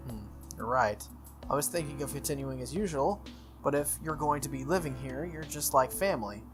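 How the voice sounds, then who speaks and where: An easygoing, slightly exasperated teenage boy from a visual novel, read by a man. He sounds earnest, plain-spoken and conciliatory at a middle pitch, with game music behind him. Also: moderate pace, lightly acted. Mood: agreeable, hesitant. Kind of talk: visual novel character reading.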